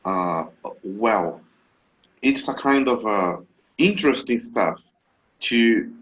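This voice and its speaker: A Brazilian man, a native Portuguese speaker, asking a question on a video call, halting with many fillers and restarts. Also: on the low side, expressive, fairly bright tone, low-quality recording. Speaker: webinar attendee asking a question.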